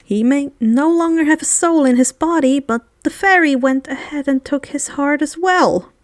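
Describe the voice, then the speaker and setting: A woman's voice: high-pitched, normal speed, dry recording, clean studio sound. A woman reading fan fiction aloud as an amateur narrator, in scripted storytelling style.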